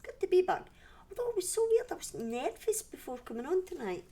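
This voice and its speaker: A woman's voice: very high voice, very animated, a little fast, dark tone. Scottish woman chatting casually on a livestream. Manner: rambling, self-interrupting, conversational. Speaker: livestream host and storyteller. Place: indoors at home.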